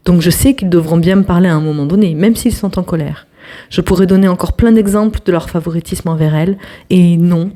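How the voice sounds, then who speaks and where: A young woman hosts a storytelling channel, reading an online post aloud in a lowish, warm, mellow voice. Her reading is lively, now and then dropping to a hushed aside, and she talks directly to her viewers.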